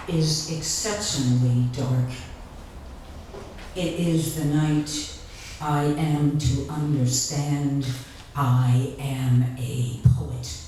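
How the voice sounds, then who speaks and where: A woman giving a live literary reading, deliberate and dramatic, pausing between short phrases. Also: sits low, a little mellow, distant mic. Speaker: author reading at a literary event. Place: indoor reading event before an audience. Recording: echoey room from afar, faint noise.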